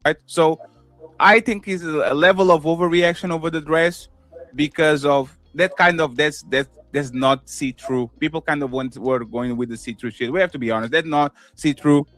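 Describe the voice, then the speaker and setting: Animated man with a Portuguese accent. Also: on the high side, normal speed, no echo, soft background music. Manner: animated, swings loud and soft, acts out quotes. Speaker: podcast co-host. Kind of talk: casual opinion talk.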